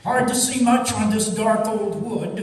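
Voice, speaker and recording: Earnest, literal-minded church helper acted by a woman, middle pitch, plain delivery, radio play, distant. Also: measured pace, darkish tone, slight background noise, natural voice. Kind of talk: comedic stage radio play acting. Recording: distant, very echoey hall.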